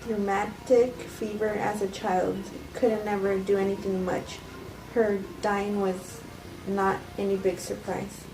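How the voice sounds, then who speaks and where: A woman reading the part of a self-justifying murderess calmly confessing, in a halting amateur table read. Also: mid-range voice, music in the background. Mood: defensive. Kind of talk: cold table read of a script. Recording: echoey room, distant mic, noisy background.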